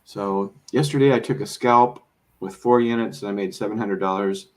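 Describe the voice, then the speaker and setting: A man talking casually about trading, rambling and explaining with many fillers and restarts, a bit hoarse as he is losing his voice. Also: lowish voice, moderate pace, darkish tone. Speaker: trader. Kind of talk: casual conversation.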